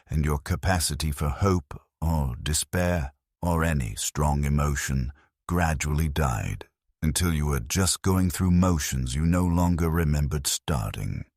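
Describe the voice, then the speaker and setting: A man reading dramatic scripted narration in a grim, ominous and solemn manner, as the storyteller of a dark sci-fi tale. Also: very deep voice, unhurried, no room sound, clean studio sound.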